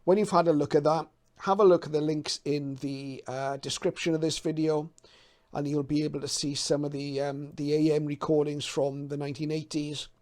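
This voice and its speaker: A man's voice: fairly high pitch, flat delivery, normal speed, a little mellow. A man in his fifties from the UK talking casually to camera as a hobby channel host, explaining things a little hesitantly as he thinks aloud. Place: small cluttered home radio room.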